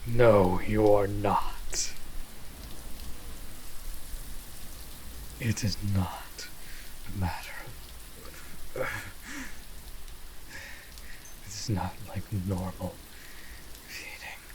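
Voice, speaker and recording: A man playing a weakened, brooding vampire master in an audio drama, halting and breathy, often slipping into whispers. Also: low-pitched. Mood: alarmed refusal. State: weak, strained, sighing. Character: weakened, brooding male vampire master, protective yet hungry. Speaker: voice actor. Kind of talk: dramatic roleplay audio acting. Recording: steady rain noise over the voice. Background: rain.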